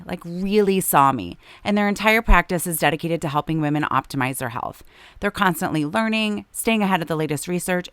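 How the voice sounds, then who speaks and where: Hoarse, raspy woman with vocal fry. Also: lowish voice, a bit bright, no echo. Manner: chatty, laughs often. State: hoarse from a long illness. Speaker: podcast host. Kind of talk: casual chat and read ads.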